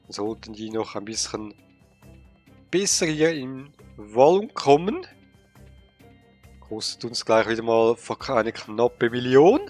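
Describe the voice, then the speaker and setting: Slow-talking man with a cold. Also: mid-range voice, sing-song, a little mellow, soft background music. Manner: slow, hesitant, thinking aloud with fillers. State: has a cold. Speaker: let's play gamer. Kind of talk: casual gameplay commentary.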